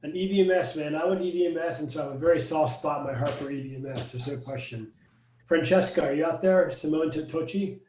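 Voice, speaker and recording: A man's voice: fairly high pitch, fast, darkish tone, echoey room, slight background noise, rough recording. A man hosting a casual video-call welcome as a residency program director, upbeat and jokey. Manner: upbeat, jokey, friendly banter.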